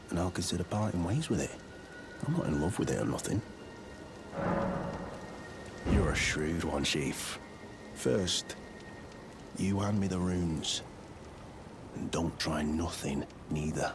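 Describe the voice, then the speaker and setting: A man's voice: low-pitched, noisy background, full character voice. A man playing a shifty, foul-mouthed rogue in a video game, with a working-class English accent and a coarse, slangy, brusque manner. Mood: surly, suspicious. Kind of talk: video game character acting.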